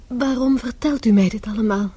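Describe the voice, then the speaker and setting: An actress playing a composed, guarded young woman who questions quietly and gently in a radio play. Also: on the high side, fairly quick. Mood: guarded, probing. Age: young adult. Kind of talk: radio drama acting. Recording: radio play with clear background noise.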